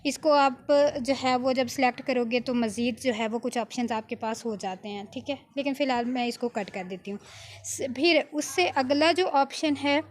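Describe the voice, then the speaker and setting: A woman giving an informal, step-by-step software tutorial as an editing tutor, often adding small check-in tags. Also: sits high, moderate pace, slight background noise.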